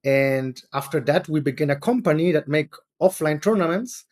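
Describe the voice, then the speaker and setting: Man with halting English. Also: on the high side, expressive, a little mellow. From Chile and Germany. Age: 40s. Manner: non-native English, halting restarts, chuckles often. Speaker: guest, venture capitalist. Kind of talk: casual interview talk.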